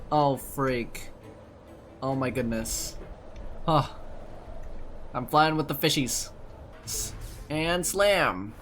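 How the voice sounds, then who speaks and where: A man's voice: fairly high pitch, fairly bright tone. A young man giving casual, reactive playthrough commentary as a gaming streamer, full of short exclamations. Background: game music.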